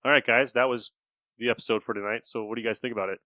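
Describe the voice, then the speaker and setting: A man's voice: medium pitch, fairly even intonation, very fast, pushed and bright, no echo, soft background music. A man hosting a podcast in casual review chat, blunt and free with swearing. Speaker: podcast host.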